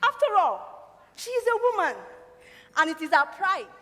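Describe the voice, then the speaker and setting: A woman acting a wise, doting motherly confidante on stage, counselling with measured words and dramatic pauses in a reverberant hall. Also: extremely high pitch, big pitch swings, pushed and bright, full character voice. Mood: gentle counsel. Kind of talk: projected stage play acting.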